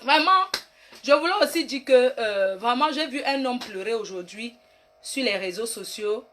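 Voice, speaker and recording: A high-voiced, bossy diva queen mother, played by a woman, holding court in a rambling comic monologue. She swings from grand announcements to trash-talking her critics. A faint hiss of noise sits behind her voice. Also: animated, moderate pace, a bit bright. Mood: preachy. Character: brash self-styled queen mother diva, boastful and bossy. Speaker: comic online personality. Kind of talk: comic live-stream monologue.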